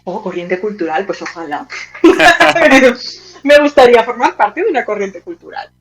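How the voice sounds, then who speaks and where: A woman's voice: fairly high pitch, lively intonation, normal speed, husky, low-quality recording. A woman with a Spanish accent from Spain, talking casually and animatedly as an interview guest, often checking in with tag questions. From Teruel, Spain.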